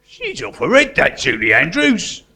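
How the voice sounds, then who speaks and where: A man playing an earnest, deluded artist in prison in a live stage comedy, matter-of-fact and deadpan. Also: high-pitched, moderate pace, breathy edge, heavily acted. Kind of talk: live comedy theatre acting. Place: live performance in a museum. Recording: noisy live stage recording.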